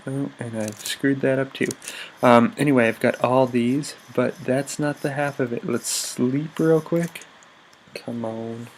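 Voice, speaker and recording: A man's voice: medium pitch, a bit bright. A young man with a slightly stuffy, congested voice chats through a video game, upbeat and rambling, stopping to correct himself often. His voice sits in a medium register, recorded on a desk mic with a faint hiss underneath. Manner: rambling, upbeat, lots of ums and self-corrections. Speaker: gaming YouTuber. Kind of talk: casual Let's Play commentary.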